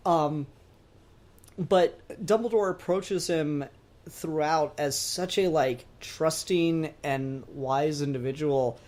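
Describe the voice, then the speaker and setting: A podcast co-host talking casually about pop culture, informally and with swearing, putting animated emphasis on points. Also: mid-range voice, slow.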